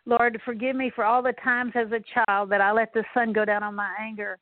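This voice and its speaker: A woman's voice: a little high, little pitch movement, fairly quick, no echo. A woman leading a spoken prayer of repentance, earnestly repeating one confession after another. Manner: earnest, repetitive litany of confessions. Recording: tinny phone-like line, dry.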